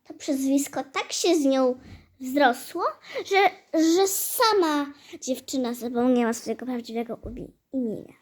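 High-voiced woman telling a halting fairy tale. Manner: halting, hesitant delivery with stumbles and repeated phrases. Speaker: storyteller. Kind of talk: fairy-tale storytelling for a hobby audio play.

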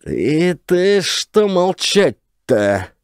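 An exasperated, blustering village elder harangues in a high, swooping voice. He scolds in drawn-out rustic fashion, as in an audio play, recorded dry and close. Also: sing-song, smooth, no echo, exaggerated voice. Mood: indignant, scolding. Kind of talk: dramatised audiobook acting.

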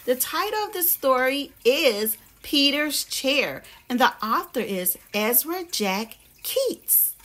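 A woman with a very high voice reads a picture book to children. She takes her time, speaking slowly and deliberately, with the animated delivery of a teacher at story time. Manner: slow, deliberate, animated storytelling for young listeners. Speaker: teacher reading picture books aloud to children. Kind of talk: expressive children's storybook read-aloud.

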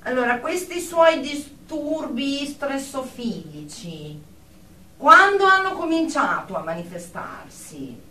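A woman's voice: high voice, sing-song, slow, bright, forceful tone, heavily acted. An actress playing a brusque, pedantic female psychiatric clinician in a radio drama, officious and coldly judgmental. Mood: clinical. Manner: clinical, officious, jargon-laden. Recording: distant, echoey room with faint noise.

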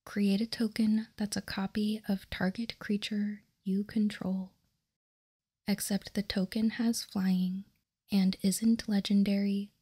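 A woman doing whispered ASMR in a soft, breathy, unvoiced whisper, reading out card text calmly and deliberately, close to the microphone. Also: medium pitch, no room sound. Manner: slow, deliberate, calm reading. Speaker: ASMR video host. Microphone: close microphone, dry sound.

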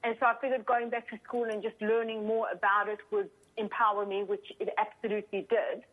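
A woman's voice: mid-range voice, level tone, fairly quick. A middle-aged woman with a South African accent, a coaching guest on a podcast interview, talking openly and chattily about her background. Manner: open, chatty storytelling. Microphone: phone call. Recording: phone-line call audio.

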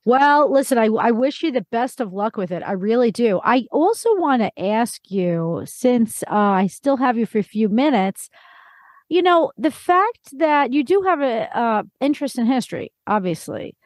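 A woman's voice: on the high side, animated, normal speed, no room sound, clean studio sound. A woman, middle-aged, hosting a podcast interview in a conversational, affirming way.

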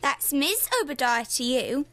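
A woman's voice: sits high, animated, a little slow, a bit bright, exaggerated voice. A woman acting an indignant, gushing lady in a comic radio play. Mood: huffy. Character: indignant, gushing lady besotted with a highwayman, heckling listener.

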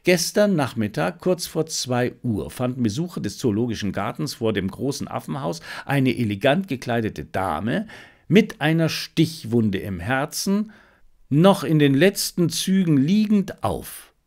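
A male audiobook narrator reads a detective story. His medium-pitched voice rises and falls widely, giving the scripted prose a very animated contour. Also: unhurried.

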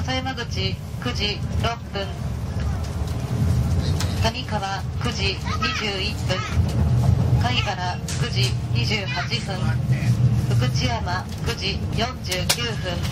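A woman train conductor giving a formal, polite and measured scripted announcement over an onboard PA. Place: inside a moving train carriage. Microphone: camera at a passenger seat, picking up PA speaker. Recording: distant onboard PA, loud train rumble. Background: loud running train noise.

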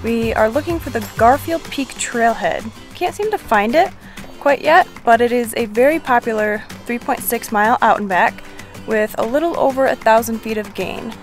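A young woman with a fairly high voice talks brightly to a handheld camera outdoors, laying out the day's plan. Light music sits quietly behind her. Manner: upbeat, informative. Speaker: travel vlogger. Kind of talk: casual travel vlog narration. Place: outdoors by a parked car. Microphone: handheld camera at arm's length.

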